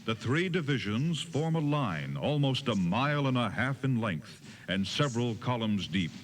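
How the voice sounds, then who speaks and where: A man narrating a dramatized history tour in a solemn, measured, scripted storytelling style, on an old cassette recording. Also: medium pitch, very animated. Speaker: narrator of a battlefield audio tour. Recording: noisy 1980s cassette tape.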